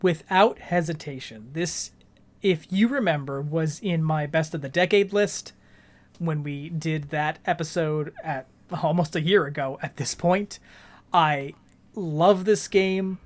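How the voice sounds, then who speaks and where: A man with a fairly high voice talks as a podcast co-host. He explains things earnestly, in the loose conversational style of a casual gaming discussion. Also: measured pace.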